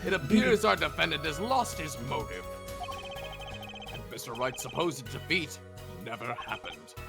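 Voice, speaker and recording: A man's voice: on the high side, expressive, normal speed, creaky, loud music behind the voice. A man playing a pompous, easily flustered older courtroom judge in a game fandub, speaking formally. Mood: solemn.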